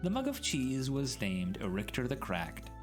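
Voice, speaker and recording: A man's voice: mid-range voice, huge pitch swings, a little slow. A man doing a dramatic storybook reading in a theatrical, mock-grand way. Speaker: streamer reading a story aloud. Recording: background music mixed under voice.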